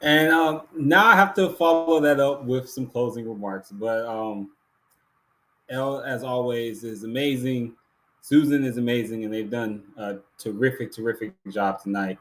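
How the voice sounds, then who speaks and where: A man's voice: medium pitch, normal speed, a bit bright, low-quality recording. Earnest man hosting an awards show. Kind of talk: prepared closing speech to an online audience.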